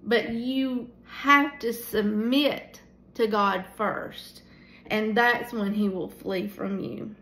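A tired woman in her forties leading a casual Bible study from a small room at home, talking into a phone held at arm's length, with many pauses and fillers. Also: mid-range voice, fairly even intonation, a bit bright, reverberant, rough recording. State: tired at the end of the day. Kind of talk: casual devotional teaching. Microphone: phone camera at arm's length.

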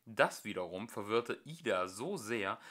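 A young man narrating a children's story as an amateur audiobook reader, with expressive delivery. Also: on the low side, unhurried. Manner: expressive storytelling with acted voices.

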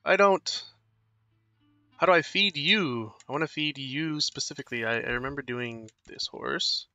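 A man's voice: on the high side, normal speed, bright, forceful tone, dry recording, soft background music. A young man with no accent commentating his game at home, rambling and apologizing as he tells self-mocking stories. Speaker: gaming streamer. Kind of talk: casual let's play commentary.

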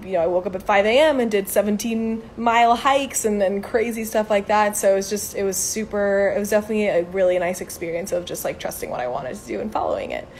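A young woman in her twenties talks casually into a phone held at arm's length outdoors, answering questions on a live stream. Her talk is chatty and upbeat, full of "um" and "like", at a middle pitch. A faint low rumble sits behind her voice. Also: quick pace, hardly any pauses, a little mellow. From Venezuela. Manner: chatty, upbeat, many fillers like "um" and "like". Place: outdoors by a brick building. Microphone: phone camera at arm's length.

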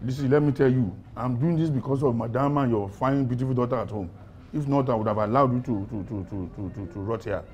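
A man's voice: very high voice, heavily acted. Scolding Nigerian policeman played by an actor. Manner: repetitive, scolding, mixes Yoruba and Pidgin into speech. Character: bullying, accusatory male police officer, scornful and domineering. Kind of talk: film drama acting.

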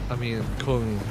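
A young male streamer talks at an unhurried pace from a lit-up home room, wearing headphones at his desk mic. He reacts to a game with goofy, mock-dramatic asides to chat, at a medium pitch, while the game's music runs underneath. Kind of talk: casual game-stream commentary. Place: home room with colored lights.

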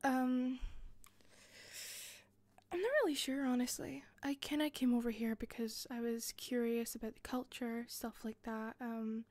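A woman with a softened Scottish accent playing an attentive hostess in a roleplay, speaking softly into a close mic with frequent giggles and whispers. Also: fairly high pitch, little pitch movement, measured pace, no room sound. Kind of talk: listener-directed roleplay.

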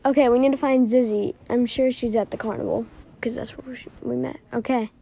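A woman doing amateur scripted character dialogue for an animated story, with a drawn-out, unhurried delivery. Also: on the high side, gravelly, breathy edge.

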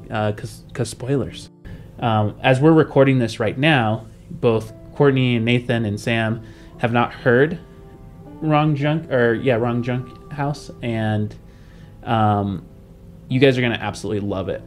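Young man, game-podcast host, middle register, loose conversational delivery with halting asides, music underneath. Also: medium pitch. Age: young adult. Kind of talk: casual podcast chat.

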